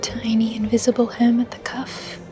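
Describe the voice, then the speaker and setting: A woman's voice: fairly high pitch, flat delivery, normal speed. Gushing woman vlogger with music behind. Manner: gushing, exclamatory. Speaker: vlogger and seamstress. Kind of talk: excited vlog narration.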